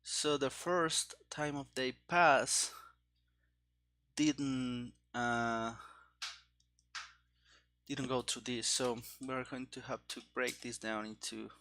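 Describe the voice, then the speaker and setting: A man's voice: medium pitch, steady tone, slow, pauses often, sharp tone. A man muttering and thinking aloud in fragments as he gives casual commentary on live programming work. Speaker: programmer streaming game development.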